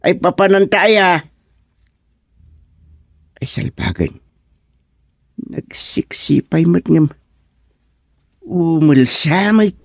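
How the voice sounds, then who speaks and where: A man with an Ilocano accent playing an excitable comic village man in a radio drama, exclaiming in drawn-out, emphatic phrases. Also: high-pitched, creaky, no echo, studio quality, heavily acted. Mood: agitated, lamenting.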